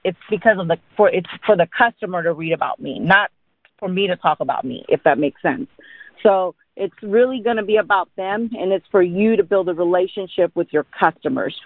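A woman explains things informally as a sales trainer, often tagging on little check-ins. Her pitch sits on the low side for a listener expecting a light voice, and it comes through a thin, phone-like line. The sound is dry and close, with no room around it. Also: a little fast, no room sound. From born in India, grew up in Dallas, Texas. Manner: explanatory, with frequent check-in tags like "right?" and "okay?". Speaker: insurance agent giving sales training. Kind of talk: informal instructional talk.

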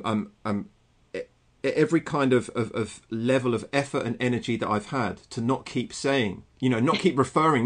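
Halting, stammering male podcast host. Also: mid-range voice, a bit bright. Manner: halting, full of repeated words and fillers. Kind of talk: casual interview chat.

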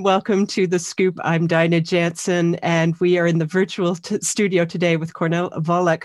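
A woman's voice: fairly low pitch, no room sound, studio quality. A woman hosting a campus radio show, introducing a guest and asking questions in a formal, scripted way.

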